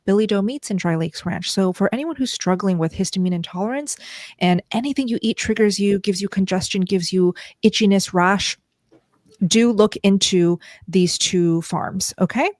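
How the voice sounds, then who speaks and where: A woman hosting a live Q&A, reading out viewer questions and giving clear, direct recommendations. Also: mid-range voice, moderate pace, darkish tone, no room sound, clean studio sound. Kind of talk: live Q&A moderating.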